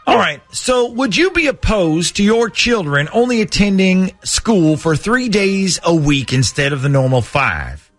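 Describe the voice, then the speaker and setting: Man playing a deadpan, lilting, clueless school official. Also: high-pitched, measured pace, no echo, soft background music. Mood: earnest, businesslike. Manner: polite, hesitant, deadpan. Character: earnest, clueless male school planning official proposing absurd plans. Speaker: radio show prank caller. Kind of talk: prank phone call.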